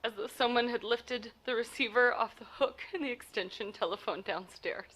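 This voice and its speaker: A woman's voice: a little high, normal speed, a bit bright, rough recording. A woman acting a nervous, demanding invalid wife in a 1940s radio play, stammering with urgency. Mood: hushed, frightened. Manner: stammering, breathless urgency, haughty insistence.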